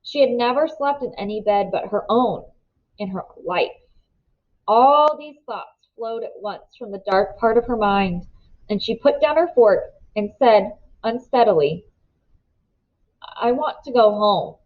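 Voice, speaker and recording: Lady reading a kids book out loud, storytelling voice, kinda muffled audio. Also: a little high, measured pace. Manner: expressive, storytelling. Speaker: reader. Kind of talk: reading a children's novel aloud.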